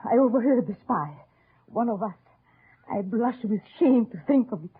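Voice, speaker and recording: A woman's voice: fairly high pitch, expressive, moderate pace, husky. An actress playing a bitter, fierce middle-aged mother in the resistance, vehement and melodramatic, in old radio drama style. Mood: urgent, scornful. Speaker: actor. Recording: thin, tinny old radio broadcast.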